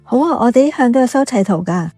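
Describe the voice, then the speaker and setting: A chatty café woman in a radio drama, curious and teasing. Her voice is a little high with a soft, mellow tone, and light music plays along. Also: expressive, fast talker, dry recording.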